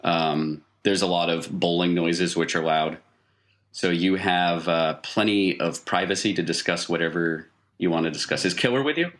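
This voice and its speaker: A man's voice: sits low, moderate pace, fairly bright tone. A man speaking as game master, narrating casually and prompting his players with questions. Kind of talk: improvised tabletop game narration.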